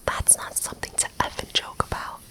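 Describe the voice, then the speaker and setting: A woman's voice: fairly quick, bright, forceful tone, close to an everyday voice. Woman whispering as a firm protective husband. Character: proud, protective married man.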